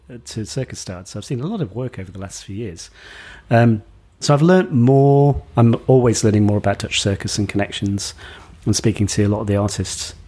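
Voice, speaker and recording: Guy chatting in an interview, mellow and a bit raspy. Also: mid-range voice. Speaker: circus venue representative, interviewee. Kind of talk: casual interview answers.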